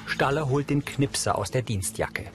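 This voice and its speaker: A man's voice: medium pitch, lively intonation, normal speed, music in the background. A man reading scripted scene narration for the audio description of a TV drama.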